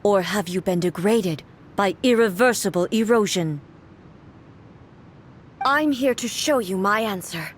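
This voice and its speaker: A woman's voice: medium pitch, expressive, measured pace, long pauses, slight background noise, lightly acted. A woman voicing a majestic, unyielding warrior goddess in a video game, speaking in a solemn, formal, imperious manner. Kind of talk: English video game voice acting.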